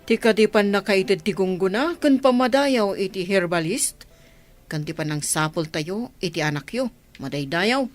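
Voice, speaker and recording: A woman's voice: medium pitch, lively intonation, moderate pace, soft background music, natural voice. A woman acting a deferential servant to a sultan in an Ilocano radio drama.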